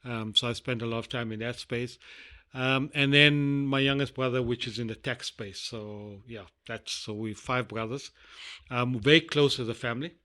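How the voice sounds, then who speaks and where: A middle-aged South African man being interviewed, talking in a rambling, halting way with many fillers. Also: mid-range voice, steady tone, pushed and bright. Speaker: guest. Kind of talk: casual interview chat.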